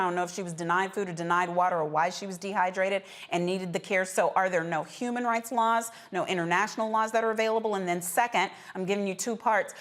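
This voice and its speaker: A woman hosts a news talk panel with a mid-pitched voice. She phrases her questions and remarks deliberately, putting weight on key words. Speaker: talk show host. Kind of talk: panel discussion moderating.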